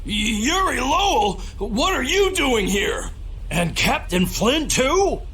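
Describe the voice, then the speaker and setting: A man voicing a pompous, excitable knight officer in a video game, theatrical and formal, with some noise behind him. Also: very high voice, slow pace, exaggerated voice. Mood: indignant, pompous.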